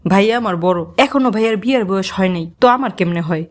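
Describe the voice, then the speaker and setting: A woman's voice: medium pitch, very fast, slight background noise, natural voice. A woman voicing a sulky, whiny teenage daughter in a cartoon. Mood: grumbling. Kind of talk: cartoon voice acting.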